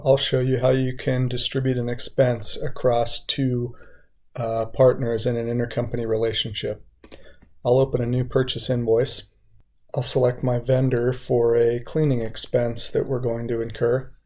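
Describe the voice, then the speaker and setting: A man narrates an instructional software walkthrough at a middle pitch. His delivery stays even, with little melodic movement, and he explains each step methodically like a trainer. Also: moderate pace. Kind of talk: instructional screen-share walkthrough.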